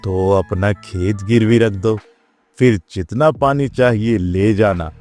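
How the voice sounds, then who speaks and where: A man's voice: on the low side, takes it slowly, darkish tone, soft background music. A man voicing an arrogant, greedy village landlord in a Hindi moral-story cartoon, haughty and demanding. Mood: stern demand.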